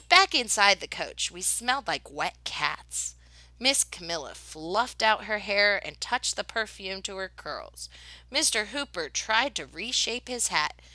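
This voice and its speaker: A woman reading a children's picture book aloud in an expressive, dramatic storytelling style. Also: medium pitch, no echo. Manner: dramatic, with whispered suspense and excited exclamations.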